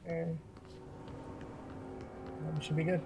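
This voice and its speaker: A let's-play host giving casual gameplay commentary in a relaxed, rambling way with frequent laughs. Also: mid-range voice, takes it slowly, over loud music, rough recording.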